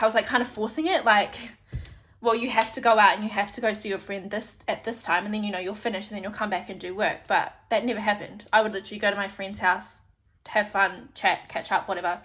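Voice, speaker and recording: A woman's voice: fairly high pitch, a bit bright. A young woman with a New Zealand accent, chatting casually to camera in a rambling way with lots of fillers. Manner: chatty, rambling, frequent "like" and restarts. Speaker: vlogger.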